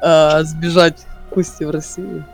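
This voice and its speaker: A man's voice: on the high side, level tone, unhurried, gravelly, faint music behind. A man chatting casually with other players over an online voice chat after a game, musing aloud and chuckling now and then.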